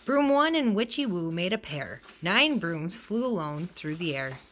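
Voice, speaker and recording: A woman reading a children's picture book aloud in an expressive way, acting out the dialogue. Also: lowish voice, a little slow. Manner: expressive, acts out character dialogue. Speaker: storybook reader.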